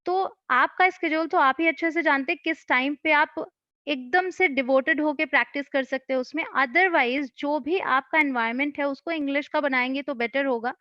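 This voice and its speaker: Coach type, woman, high voice, patient and advisory, dry lo-fi sound. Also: normal speed, no echo. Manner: patient, advice-giving explainer. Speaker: English-speaking coach. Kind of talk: answering questions in an online session.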